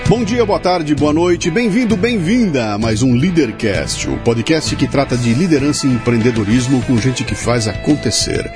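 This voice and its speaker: A man's voice: mid-range voice, animated, normal speed, hardly any pauses. Brazilian man hosting a podcast, music behind. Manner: informal, colloquial. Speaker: podcast host. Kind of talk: scripted sponsor reads and conversational interviewing.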